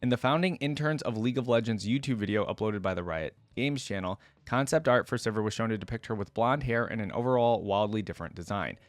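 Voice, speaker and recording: Low-voiced man, casual reaction commentary. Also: quick pace, hardly any pauses, no echo, soft background music. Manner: informal, thinking aloud between read-out passages. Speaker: YouTube host reacting to game lore. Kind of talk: casual reaction commentary, reading wiki text aloud.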